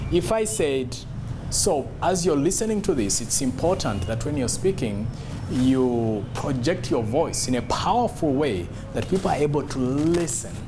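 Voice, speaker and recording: A man giving an instructional talk as a public speaking coach, emphatic and demonstrative, repeating key phrases. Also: fairly high pitch.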